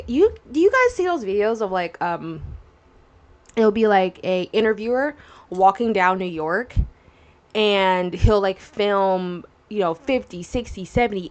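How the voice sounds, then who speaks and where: A woman's voice: mid-range voice, dull audio. A woman in her twenties hosting a solo podcast, talking casually and rambling through tangents with many fillers. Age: 20s. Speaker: podcast host.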